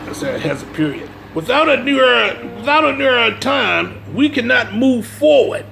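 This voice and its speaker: Gravelly man preaching in an emphatic, repetitive way. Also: extremely high pitch, very animated, normal speed, background noise. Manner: emphatic, repeats phrases, checks listener's understanding. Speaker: religious speaker reading from a book and commenting. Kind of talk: informal religious lecture mixing read-aloud passages and commentary.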